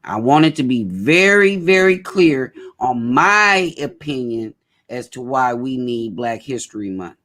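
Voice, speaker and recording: A man's voice: mid-range voice, big pitch swings, measured pace, a bit bright. A man hosting a casual talk show, speaking emphatically and often repeating his points. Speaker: host.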